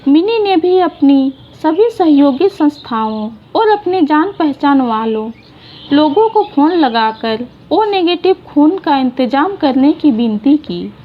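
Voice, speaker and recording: A woman narrating a short moral story in an unhurried, gentle reading style. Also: high voice, big pitch swings, highs cut off.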